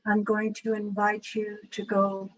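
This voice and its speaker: Female meditation guide, low-variation delivery, very slow and soothing, slightly dull recording with faint noise. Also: completely flat delivery.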